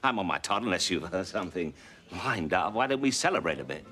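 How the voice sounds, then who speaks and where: A man's voice: sits low, expressive, fast talker, sharp tone. An actor playing a matey, probing male journalist in a British TV drama, fishing for information over drinks. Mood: genial. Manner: matey, probing, calls others boy. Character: chummy prying male Fleet Street journalist. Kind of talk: 1980s British TV drama acting. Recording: TV soundtrack with music underneath.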